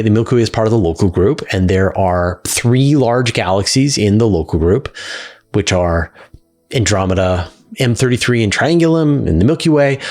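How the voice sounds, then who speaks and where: A man's voice: fairly low pitch, a little mellow. A middle-aged man hosting a question show, answering in a conversational, explanatory way and leaning on key words for emphasis. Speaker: host of a space science question show.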